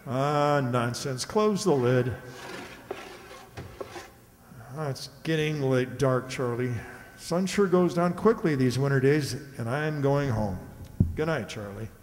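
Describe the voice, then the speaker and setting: Police inspector, played by an older man: mid-pitched, measured, no-nonsense, radio mystery staged in a reverberant hall. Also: slight background noise, lightly acted. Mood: brisk, dismissive. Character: matter-of-fact, reassuring male police inspector. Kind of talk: old-time radio mystery acting. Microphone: stand microphone on stage. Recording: echoey hall, live stage performance.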